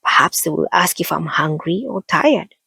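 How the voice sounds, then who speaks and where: Woman voicing a spoiled young girl, haughty, complaining, slightly husky, storybook heroine, dry close recording. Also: deep voice, normal speed, bright, forceful tone, no echo, full character voice. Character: proud, petulant young chief's daughter.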